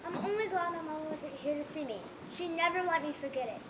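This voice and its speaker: A young-sounding woman playing a proud, gentle, self-conscious girl in a stage play. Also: high-pitched, a little fast, heavy background noise, natural voice. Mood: shy, self-conscious.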